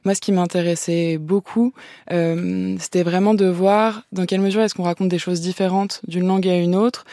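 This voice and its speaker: Hesitant young woman novelist, soft-spoken. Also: lowish voice, steady tone, normal speed, no room sound, studio quality. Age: 30s. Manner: hesitant, many "euh" and restarts, soft-spoken. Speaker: novelist guest. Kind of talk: radio interview answers.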